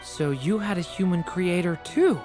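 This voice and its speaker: An actor voicing a gentle, earnest young male artificial being in a video game, sincere and a little hesitant. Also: mid-range voice, normal speed, lightly acted. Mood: earnest, careful. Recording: game music behind.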